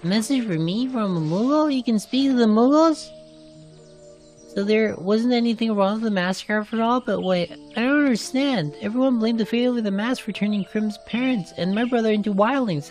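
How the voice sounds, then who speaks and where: A woman's voice: on the high side, moderate pace, a little mellow, faint music behind, close to an everyday voice. A woman voicing a worried young girl with an earnest, pleading manner in narrated fantasy game dialogue. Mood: curious, hopeful.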